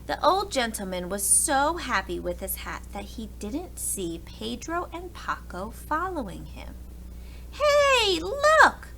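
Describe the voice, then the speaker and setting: A woman's voice: fairly high pitch, big pitch swings, measured pace, creaky, few pauses, a bit bright, poor audio. A woman reading a storybook aloud expressively for young children, recorded with background noise.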